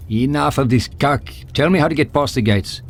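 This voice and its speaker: A man's voice: medium pitch, normal speed, a little hiss or hum, close to an everyday voice. An actor playing a blunt, impatient male wanderer in a video game, curt and dismissive. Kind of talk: scripted video game voice acting.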